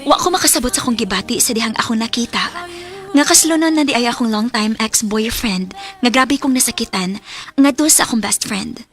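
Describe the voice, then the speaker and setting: Actress doing hurt wistful woman around thirty, really high voice, cebuano accent, radio drama w music under. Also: a little fast, lightly acted. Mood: hurt, sorrowful. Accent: Cebuano Filipino. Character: heartbroken thirty-year-old single woman, hurt and wistful.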